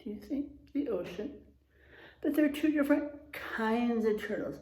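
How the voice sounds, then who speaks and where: Woman, teacher read-aloud, higher-pitched voice, expressive storybook delivery, checks in with listeners, echoey room. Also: normal speed. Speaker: teacher reading aloud to children. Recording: roomy, echoing room sound.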